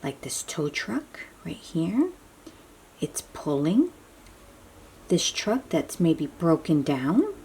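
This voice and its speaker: A woman reading a picture book aloud to young children as host, putting questions to them and explaining things simply, often dropping into a breathy near-whisper. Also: low voice, big pitch swings, slow, pauses often, mellow.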